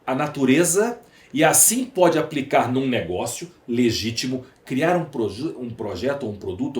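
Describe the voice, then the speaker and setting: A man's voice: medium pitch, darkish tone. A Brazilian man speaking as a podcast guest, emphatic and rhetorical, building his points through lists and questions. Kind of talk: conversational interview monologue. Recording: echoey room, faint background noise.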